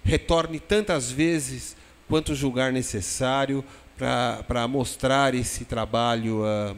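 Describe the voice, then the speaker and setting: A man hosts an interview in a wordy, effusive style, with formal courtesies and halting phrasing. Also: mid-range voice. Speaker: host of a web TV programme. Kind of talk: conversational interview hosting. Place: glass studio inside a shopping mall. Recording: long reverb of a hall-like room.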